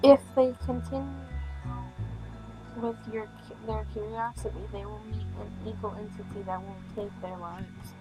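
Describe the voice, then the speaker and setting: A woman acts out a proud young girl from a visual novel, haughty and insistent about her powers. She speaks earnestly at a middling pitch, with music playing behind her. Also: fairly even intonation, measured pace, close to an everyday voice, poor audio. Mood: grave, insistent.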